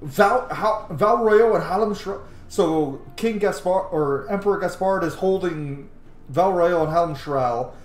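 A man's voice: sits high, measured pace. A man in his thirties giving casual, sweary game commentary, thinking aloud as he plays. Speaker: game streamer. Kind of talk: casual roleplay commentary over gameplay. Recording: echoey room.

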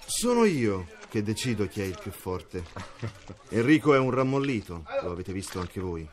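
An actor playing a cynical, chuckling mercenary captain in a radio drama. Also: male voice, lowish voice, big pitch swings, normal speed. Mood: sly. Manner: jovial, sly, chuckling. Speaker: mercenary leader.

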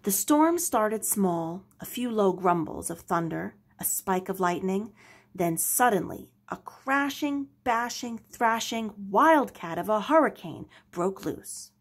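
A woman narrating a children's story aloud with expressive, dramatic delivery. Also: medium pitch, slow, slightly rough. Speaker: storybook reader.

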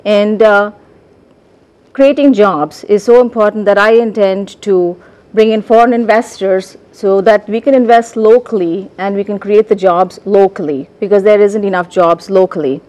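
A middle-aged woman from India answers as a candidate in a televised debate. She speaks in a measured way, pausing with fillers and repeating words. The sound is clean, from a studio lapel mic. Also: medium pitch, a little mellow. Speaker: political candidate.

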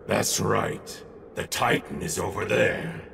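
A gruff, creaky-voiced warrior king in a video game cutscene. He sounds set back from the microphone, with noise around him. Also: on the low side, takes it slowly, distant mic, exaggerated voice. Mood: gruff, matter-of-fact. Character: gruff warrior king leading his men.

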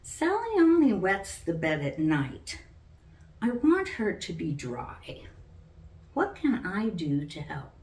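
A woman's voice: fairly low pitch, huge pitch swings, slow pace, a little mellow, heavily acted. A woman voicing a loving, reassuring mother in a storybook, patient and soothing, in a roomy recording. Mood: thoughtful. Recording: roomy, distant from the mic.

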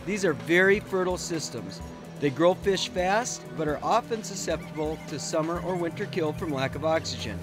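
Male American fishing show narrator, fairly high register, light music underneath. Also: expressive, moderate pace. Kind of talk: scripted narration and on-the-water chat.

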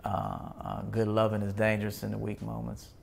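A man's voice: fairly low pitch, completely flat delivery, moderate pace, gravelly. A man in his thirties hosting a live stream from a small indoor studio, casually reading and answering viewer comments. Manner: laid-back, shouting out viewers by name. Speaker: host.

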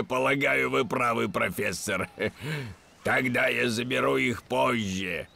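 A man voicing a genial dog skipper, a jolly uncle, in an unhurried, kindly cartoon manner. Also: mid-range voice, vocal fry, bright, forceful tone, slight background noise, exaggerated voice. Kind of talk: animated cartoon dub.

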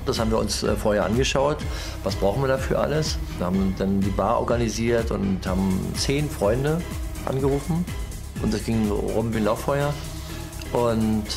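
A man's voice: fairly low pitch, moderate pace, creaky. A man giving a spoken recollection as an interviewee in a documentary. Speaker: techno scene veteran interviewee. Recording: music under the voice.

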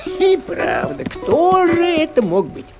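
A man voicing a sardonic, grumbling, practical tomcat in an animated cartoon, with sarcastic wit. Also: very high-pitched, takes it slowly, gravelly, heavily acted. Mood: mocking. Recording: music under the voice.

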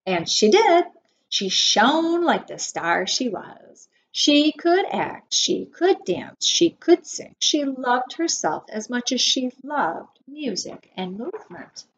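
A woman in her forties reading a picture book aloud to preschoolers as their teacher, expressively and with measured emphasis on key words. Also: high voice, sing-song. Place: small wood-panelled room indoors. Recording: dull webcam audio with faint noise.

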